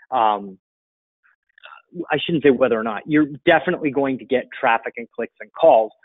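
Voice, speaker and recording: A man's voice: medium pitch, dry recording. Middle-aged man hosting webinar, dull lo-fi sound. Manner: informal, conversational, explanatory. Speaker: webinar host and marketing coach. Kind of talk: casual webinar question-and-answer. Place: indoor room, seated at a computer. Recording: dull, dry lo-fi webinar audio.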